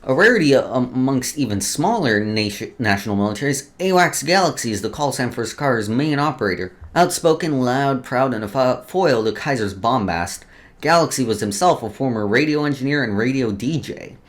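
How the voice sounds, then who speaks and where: A man's voice: mid-range voice, expressive, a little fast. A man hosting a let's play, reading game lore aloud with casual asides and often stumbling over words before restarting.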